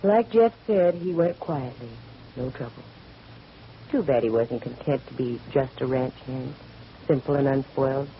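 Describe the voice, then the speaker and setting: An actress playing a sharp-witted, wisecracking female private investigator in a 1940s radio detective drama, narrating with breezy wryness. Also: extremely low pitch, measured pace, dull audio, background noise. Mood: wry, wistful. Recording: vintage radio recording with faint music.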